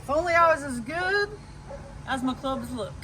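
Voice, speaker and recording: A woman's voice: sits high, expressive, moderate pace, bright, forceful tone. A woman chatting casually on a family farm vlog. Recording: noisy handheld vlog recording.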